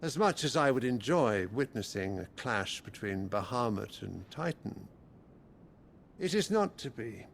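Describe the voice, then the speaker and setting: Imperious emperor, middle-aged, heavy vocal fry, measured regal delivery, cold and callous, fantasy game cutscene. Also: male voice, mid-range voice, sing-song, slight background noise. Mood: assured.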